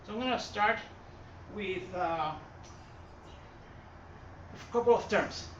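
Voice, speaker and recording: A man's voice: sits high, slow pace. A man from Puerto Rico with a Puerto Rican Spanish accent, giving a casual art history talk in a gallery, rambling and correcting himself with many fillers. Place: art gallery hall with an audience. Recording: far-off echoey room mic, dull and noisy.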